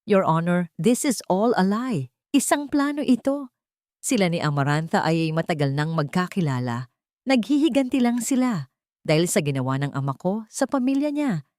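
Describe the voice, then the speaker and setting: Proud, desperate storybook father played by a woman, Filipino accent, strained and defensive, swinging widely in pitch. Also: big pitch swings, normal speed, darkish tone, no room sound, studio quality. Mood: angry, desperate. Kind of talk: audio storybook character voice.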